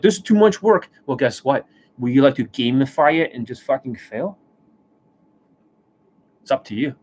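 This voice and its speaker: A man's voice: medium pitch, darkish tone, slight background noise. Ranting man with a tired voice. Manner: blunt, ranting, swears often. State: losing his voice, coughing. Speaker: dating coach. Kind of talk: livestream monologue. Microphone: desk microphone.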